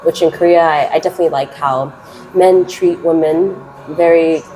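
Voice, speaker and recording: A woman from the United States in her twenties, answering interview questions casually and with energy; she speaks with a naturally loud voice that she keeps held down. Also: low voice, poor audio. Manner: animated, chatty, drawn-out words. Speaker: interviewee, English teacher.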